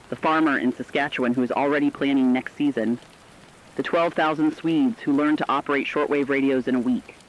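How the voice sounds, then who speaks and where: A man playing a weary, compassionate veteran radio journalist, delivering a scripted monologue with solemn, earnest, deliberate phrasing over a dull radio sound. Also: mid-range voice, close to an everyday voice.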